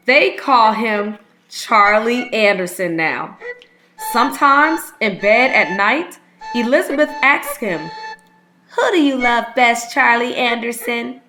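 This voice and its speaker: A woman reading a children's story aloud as a read-aloud host, with expressive, unhurried storytelling. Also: fairly high pitch. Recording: music playing behind the voice. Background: instrumental music.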